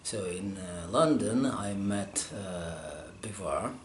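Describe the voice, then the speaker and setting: A man from Russia, a scholar answering interview questions, pausing often as he searches for his words. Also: sits low. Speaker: scholar interviewed about manuscript studies. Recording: roomy echo, faint background hum.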